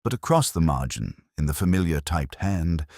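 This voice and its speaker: A man's voice: very deep voice, expressive, normal speed, a little mellow, no room sound, clean studio sound. A man reading a scripted detective story as its narrator. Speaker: audiobook narrator.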